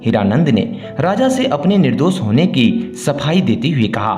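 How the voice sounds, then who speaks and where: A man's voice: mid-range voice, very animated, normal speed, a little mellow. A man narrating a scripted moral story as a storyteller. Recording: roomy echo, music behind. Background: background music.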